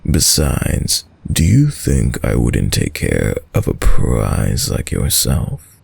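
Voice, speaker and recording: Centuries-old vampire lord, extremely low pitch, husky breathy rasp, silky menace, mock-tender, theatrical, audio roleplay. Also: male voice, huge pitch swings, slow pace, dry recording, full character voice. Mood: coaxing. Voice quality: deep, gravelly. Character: ancient sadistic male vampire lord, dominant and seductive. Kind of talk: scripted roleplay audio drama monologue.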